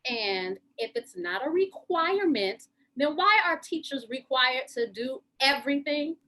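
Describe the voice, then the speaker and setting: High, animated actress as a sarcastic schoolteacher, exasperated and emphatic, venting in a stage monologue. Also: female voice, unhurried, exaggerated voice. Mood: exasperated, sardonic. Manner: sardonic, emphatic, complaining. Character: fed-up sarcastic female schoolteacher, worn out by online classes. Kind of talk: stage monologue in a lockdown drama.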